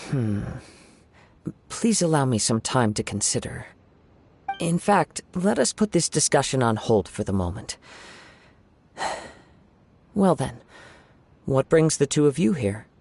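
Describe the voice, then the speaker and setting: A man's voice: medium pitch, sing-song, takes it slowly, creaky, long pauses, a little mellow, husky, heavily acted. An actor playing a humble young wandering swordsman in a video game, reserved, thoughtful and formal in his speech. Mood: hesitant, thoughtful.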